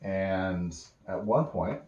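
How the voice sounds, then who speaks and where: A man improvising a bedtime story in a casual, halting way, pausing mid-sentence as he goes. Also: low-pitched, darkish tone, lo-fi sound. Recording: roomy, echoey home recording.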